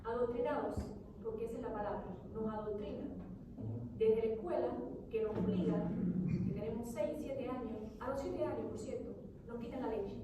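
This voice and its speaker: Cuban lady, middle-aged, giving heartfelt halting testimony at an event, far from the mic, noisy background. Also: on the high side. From Cuba. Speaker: audience member giving personal testimony. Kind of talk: impromptu public testimony at a book presentation. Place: event hall, recorded from a distance. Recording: echoey hall, distant mic, noisy background.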